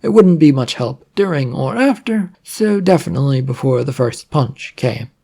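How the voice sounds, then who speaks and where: Audiobook narrator for adventure fiction. Gravelly, husky tone, mid-range pitch. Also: animated, moderate pace, mellow. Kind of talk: scripted narration of an adventure story.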